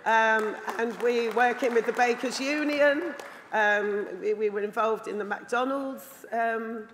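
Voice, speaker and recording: A woman with a hoarse, worn-out voice giving an earnest, rambling speech as a delegate at a party conference, with many ums. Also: fairly high pitch, takes it slowly, fairly bright tone, a little hiss or hum. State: hoarse, lost voice from shouting. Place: large conference hall. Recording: long hall reverb with crowd murmur.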